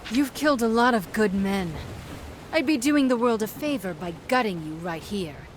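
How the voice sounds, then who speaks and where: A woman's voice: fairly high pitch, expressive, moderate pace, a little hiss or hum, natural voice. A woman playing a hard-bitten pirate captain in a video game, speaking in a steely, sardonic and threatening way. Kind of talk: video game character acting.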